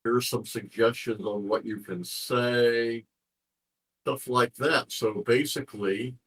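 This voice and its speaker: A man with a husky voice talking informally as an interview guest, explaining things in a rambling way and repeating phrases as he goes. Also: medium pitch, steady tone, slow, fairly bright tone, low-quality recording. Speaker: interview guest, ham radio contest organizer.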